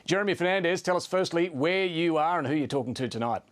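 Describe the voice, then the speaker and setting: A man's voice: fairly high pitch, lively intonation, quick pace. A man with an Australian accent working as a news anchor, interviewing and presenting in a live broadcast style.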